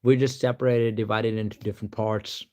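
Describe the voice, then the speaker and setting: A man's voice: lowish voice, flat delivery, brisk, warm tone. A young man from Pakistan with a Pakistani accent, chatting casually on a live stream, rambling and reading answers aloud. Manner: rambling, reads answers aloud, says "to be honest" a lot.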